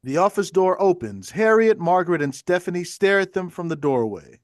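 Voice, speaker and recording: A man's voice: high voice, sing-song, normal speed, dry recording, studio quality. A man reading stage directions as scripted narration at a table read.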